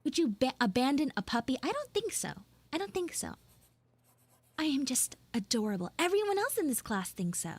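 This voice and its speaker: A woman's voice: high voice, sing-song, brisk, no room sound. A woman playing a prickly, defensive schoolgirl in a live audio roleplay, stammering and dramatic as she grumbles and pleads. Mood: pouting, pleading.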